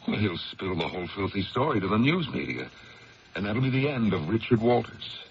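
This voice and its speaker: An actor playing a troubled lawyer in a 1970s radio drama, a man burdened and ashamed, speaking haltingly as if confessing. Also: on the low side, big pitch swings, vocal fry, breathy edge, exaggerated voice. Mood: anguished, desperate. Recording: dull, hissy old radio broadcast.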